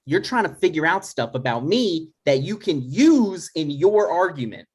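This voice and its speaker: A man's voice: on the high side, big pitch swings, moderate pace, slightly rough. A man playing a neurotic, touchy son in his thirties, argumentative and dry, in a scripted comedy table read. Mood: suspicious. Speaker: writer and actor in a table read.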